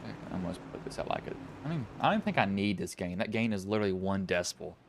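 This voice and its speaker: A man with a Southwest Virginian accent calls an online race in a low voice. He drifts between play-by-play and muttering to himself, and he often stops to correct his own words. A faint hum runs under the recording. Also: lively intonation, quick pace, creaky. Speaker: sim racing commentator. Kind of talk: play-by-play racing commentary.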